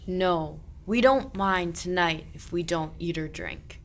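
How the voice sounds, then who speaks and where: A woman's voice: fairly low pitch, slow pace. A woman playing an earnest, forthright shepherd, declaiming archaic rhymed verse in a radio play. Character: earnest, forthright shepherd searching for a lost sheep.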